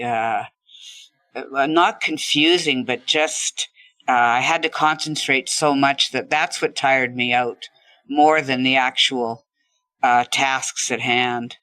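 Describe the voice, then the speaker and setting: A woman's voice: deep voice, pushed and bright, dry recording, low-quality recording. A woman speaking as an interview guest, telling personal anecdotes in a conversational, emphatic way. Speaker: interview guest, stroke survivor.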